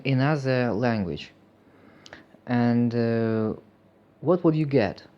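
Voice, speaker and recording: A man with a Russian accent, a native Russian speaker, talking in an unscripted personal vlog, halting and full of fillers, reflective and earnest. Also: mid-range voice, mellow, lo-fi sound. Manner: hesitant, halting, many fillers. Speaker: vlogger.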